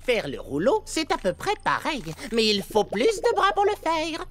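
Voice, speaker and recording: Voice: high-pitched, huge pitch swings, moderate pace. A performer voicing a brainy, know-it-all young microbe in a French cartoon dub, explaining things precisely. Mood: matter-of-fact. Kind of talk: French animated comedy dub. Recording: music behind the voice.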